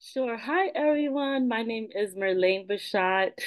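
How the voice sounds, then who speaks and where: A woman's voice: on the high side, lively intonation, slow. A middle-aged woman from Haiti who grew up in New Jersey, telling a personal story casually on a video call from home. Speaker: guest telling her story. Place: room at home. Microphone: video call, close to screen.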